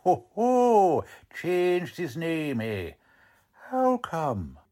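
Man as a gruff, slow-drawling wisecracking police lieutenant. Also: fairly high pitch, huge pitch swings, dark tone, heavily acted. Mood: blunt, suspicious. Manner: blunt, mocking, slangy. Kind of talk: 1940s radio drama acting.

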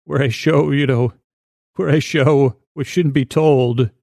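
Male podcast host for sleepy listening: creaky, meandering, repeats himself, gently absurd monologue, very dry recording. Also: mid-range voice, fairly even intonation, no room sound. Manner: meandering, halting, repeats and trails off mid-thought; whimsical, self-deprecating. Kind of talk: rambling bedtime podcast monologue.

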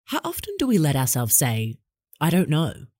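Young host, upbeat and effusive, medium pitch, dry studio sound. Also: huge pitch swings, normal speed, a little mellow, dry recording. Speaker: podcast host. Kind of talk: scripted intro then interview questions.

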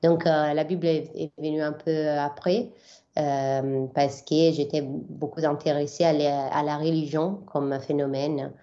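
Italian woman, low hesitant voice. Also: flat delivery, unhurried, dark tone, highs cut off. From southern Italy. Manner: hesitant, many fillers and restarts. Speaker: interview guest, biblical scholar. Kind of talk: conversational interview answers.

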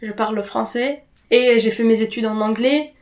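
A woman's voice: on the high side, steady tone, normal speed, a little mellow. A young woman whose native language is Arabic, talking candidly and informally about her own life as a podcast guest, with slang and the odd English word. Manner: informal slangy talk with occasional English words. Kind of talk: candid personal account in casual conversation.

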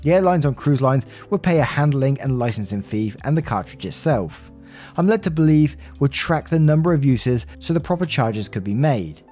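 A man reading a written story aloud as a podcast host, with expressive delivery and brief asides. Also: medium pitch, moderate pace, soft background music.

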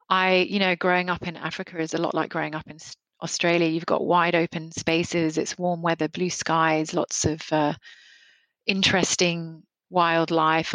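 A woman's voice: lowish voice, a bit bright, dry recording, rough recording. A woman in her forties from Kenya, a photographer answering interview questions in a reflective way, often restarting and repeating words.

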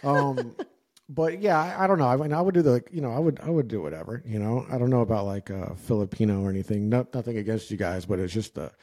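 A man's voice: medium pitch, fast talker, darkish tone. An American man telling personal stories in casual, rambling, slangy conversation. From United States. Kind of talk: casual chat, personal anecdotes.

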